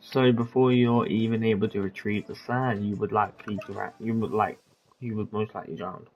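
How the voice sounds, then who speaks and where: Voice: medium pitch, steady tone, moderate pace, muffled recording. A game streamer giving casual, slangy commentary, often talking to themselves while playing. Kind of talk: casual gameplay commentary, reading dialogue aloud. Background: game music.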